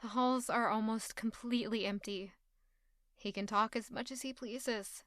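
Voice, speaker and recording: Bright, bitter young castle woman, acted by a woman. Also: fairly high pitch, fairly even intonation, normal speed, no echo, clean studio sound, lightly acted. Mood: tense narrating. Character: stubborn, bitter young castle servant woman, guarded and irritated. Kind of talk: audio drama acting with first-person narration.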